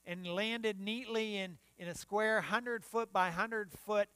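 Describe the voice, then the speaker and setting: A man's voice: high-pitched, steady tone, slow, fairly bright tone, smooth, no echo. An older man, a pastor, preaching a sermon in a church sanctuary and often restarting his phrases. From United States. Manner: preaching while standing and gesturing, with frequent restarts. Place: church sanctuary in front of the altar.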